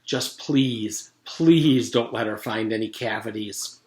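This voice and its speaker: A man's voice: lowish voice, slow, a little mellow. A man voicing a scared schoolboy from a storybook, pleading and promising earnestly. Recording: roomy echo with background noise.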